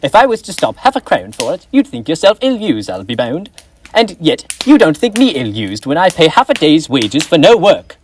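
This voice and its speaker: A man's voice: fairly high pitch, huge pitch swings, normal speed, slight background noise. Young guy doing a grouchy stingy old man, school play, lots of swings in his voice. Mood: grumpy. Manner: snapping, scolding outbursts. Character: miserly, grumpy old man who later turns fearful. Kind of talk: school stage play acting.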